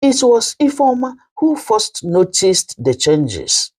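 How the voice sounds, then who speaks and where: A woman telling a scripted folktale as a storyteller, in a measured, dramatic manner. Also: mid-range voice, very animated, a little mellow, slightly rough.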